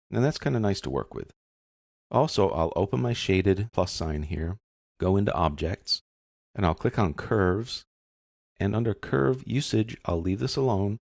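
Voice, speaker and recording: Man, software instructor, very low pitch, gravelly fry, casual step-by-step tutorial narration. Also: dry recording, clean studio sound.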